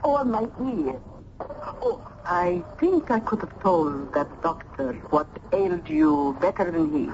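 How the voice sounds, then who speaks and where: A woman's voice: fairly low pitch, big pitch swings, measured pace, a little mellow. An actress playing a motherly, sly Italian landlady with an Italian accent, teasing and bossy, in an old-time radio drama. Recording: muffled, noisy vintage radio recording.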